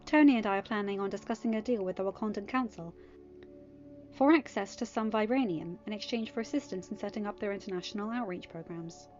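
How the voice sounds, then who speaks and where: A woman voicing a fond, caring, composed adult woman in an audiobook story, kindly and clear in what she explains. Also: medium pitch, quick pace, darkish tone, lo-fi sound, faint music behind, lightly acted. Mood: explaining.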